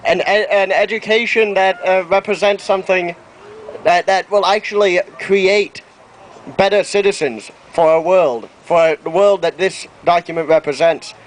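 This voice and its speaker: A man's voice: sits high, fairly even intonation, a little slow, low-quality recording. A young man giving an informal public speech as a student activist, searching for his words. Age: young adult. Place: outdoor town plaza.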